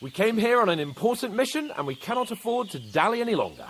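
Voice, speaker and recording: A cocky young knight. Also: male voice, sits high, sing-song, a bit bright, slight background noise. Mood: brisk, lordly. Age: young adult. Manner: jesting, lordly and quick-witted. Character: cocky young male knight, joking and boastful. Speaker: young nobleman. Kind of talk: video game cutscene acting.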